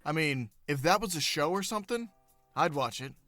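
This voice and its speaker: A woman's voice: deep voice, animated, moderate pace, a bit bright, no room sound, soft background music. A woman voicing a laid-back, roguish young sword-fighting student in an audio drama, flippant and dry. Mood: dryly evasive. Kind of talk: audio drama character banter.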